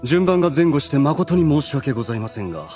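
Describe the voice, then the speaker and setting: A man playing a suave, calculating demon retainer in an anime audio drama, speaking with formal courtesy and measured persuasion. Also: a little high, big pitch swings, music in the background. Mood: reverent.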